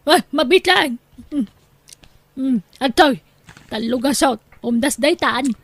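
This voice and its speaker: Casting: woman playing bossy, nagging mother, high voice, Ilocano accent, radio drama delivery, recorded close and dry. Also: lively intonation, slow, dry recording, full character voice. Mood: grumbling, fond. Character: scolding, nagging Ilocano wife and mother, demanding but doting.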